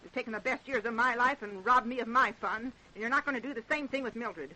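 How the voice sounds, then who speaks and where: A woman's voice: on the high side, fast talker, pushed and bright, low-quality recording. An actress playing a fed-up, hot-tempered, middle-aged American wife and mother in 1930s radio drama style. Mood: angry, defiant. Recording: old radio drama recording.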